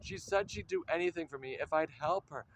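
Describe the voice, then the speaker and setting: A man's voice: fairly high pitch, fairly quick, close to an everyday voice, rough recording. An actor playing a wry, pedantic man, delivering dry, clipped lines and hardboiled pastiche narration. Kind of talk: radio-drama acting with hardboiled pastiche narration.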